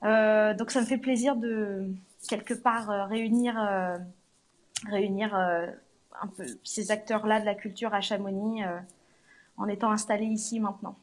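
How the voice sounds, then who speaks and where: A woman singer being interviewed at a venue, speaking haltingly with many fillers and restarts. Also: medium pitch, fairly even intonation. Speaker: singer, guest. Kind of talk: live interview on location. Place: arts centre venue.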